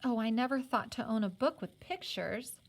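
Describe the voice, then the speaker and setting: Woman playing an excited high-pitched little girl. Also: moderate pace. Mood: delighted. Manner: squealing, exclaiming with delight.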